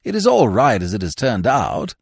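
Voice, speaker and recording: A man's voice: fairly low pitch, huge pitch swings, moderate pace, creaky, husky, no room sound, studio quality, exaggerated voice. A man voicing a fussy, absent-minded old lord in an audiobook, with a stammering, blurting way of talking. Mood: apologetic, affable.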